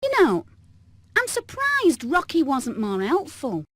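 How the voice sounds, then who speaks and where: A woman's voice: sits high, wildly swooping pitch, slow, a bit bright, no room sound, studio quality, heavily acted. A woman voicing a brainy, enthusiastic inventor hen in a cartoon video game.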